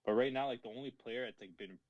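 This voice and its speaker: A man's voice: medium pitch, fast, no echo, lo-fi sound. A young man co-hosting a football podcast, chatting casually and slangily with lots of "like" and "bro". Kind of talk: casual sports chat among friends.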